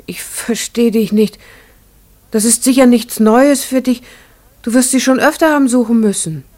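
A woman in a radio play playing a caring farm wife, speaking in a gentle, earnest, reassuring manner. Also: fairly high pitch, normal speed, natural voice. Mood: insistent, reassuring. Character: caring farm wife soothing a troubled friend. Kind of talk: German radio play acting.

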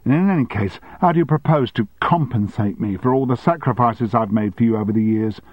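Indignant sharp-tongued secretary put on by a man, sarcastic retorts, dry close sound, slightly muffled. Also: mid-range voice, expressive, normal speed, slightly rough, no echo, pitched above the performer's own voice.

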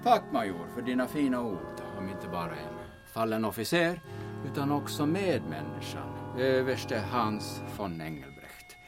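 A man acts a solemn officiant giving a eulogy in a radio play. His medium-pitched voice moves expressively through formal phrases, with music clearly playing behind him. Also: sing-song. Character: solemn officiant speaking at a memorial. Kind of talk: formal eulogy in audio drama.

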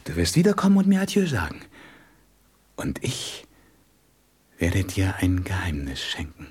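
An actor playing a gentle, wise and wistful fox in a radio play, speaking tenderly and deliberately and often dropping into whispers. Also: male voice, deep voice, heavily acted. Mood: tender, sad. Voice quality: husky, breathy voice that drops into whispers. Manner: deliberate, expressive, tender storybook delivery.

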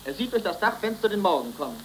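A man's voice: high voice, sing-song, quick pace. A man acting a wry, resigned figure with a dry, ironic manner, in 1930s radio drama style. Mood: dry irony. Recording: noisy vintage 1930s radio recording.

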